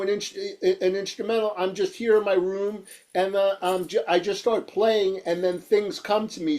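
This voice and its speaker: A man with a high, nasal voice and a New York accent. He talks casually like a musician being interviewed, stumbling over words, repeating them and padding his rambling sentences with filler phrases. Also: warm tone. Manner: rambling, stammering, repeats words and says "you know" often. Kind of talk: casual chat.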